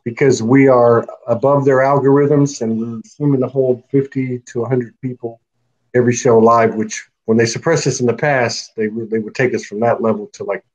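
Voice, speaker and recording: A man's voice: mid-range voice, darkish tone, muffled recording. A man hosting a live online talk show in a loose, chatty way, laughing often and thanking viewers by name. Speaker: host.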